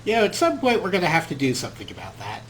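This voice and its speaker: A man's voice: sits high, heavy background noise. A man hosting a let's play with wry, amused, casual commentary.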